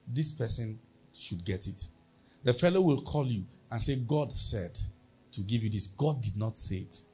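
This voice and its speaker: A man's voice: on the high side, slightly rough. A man with a Nigerian accent preaching a sermon as pastor, emphatic and leading the congregation in call-and-response.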